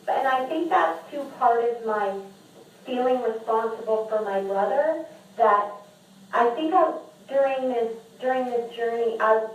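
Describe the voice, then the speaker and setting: A woman speaking as a podcast guest and memoir author, talking candidly and earnestly, often restarting and repeating words. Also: a little high. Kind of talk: candid conversational interview. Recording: echoey room, distant mic.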